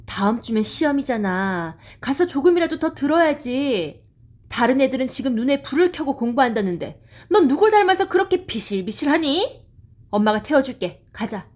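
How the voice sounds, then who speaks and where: A woman voicing a busy, pushy working mother in an audio drama, talking in a brisk, nagging way. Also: high voice, big pitch swings, a little mellow, pitched above the performer's own voice.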